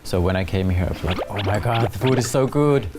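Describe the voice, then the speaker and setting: A man's voice: lowish voice, fast talker, background noise. A man in his thirties with a Finnish accent, answering casually and expressively as an interview guest. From Finland. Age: 30s. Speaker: talk show guest. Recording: guitar music under the talk.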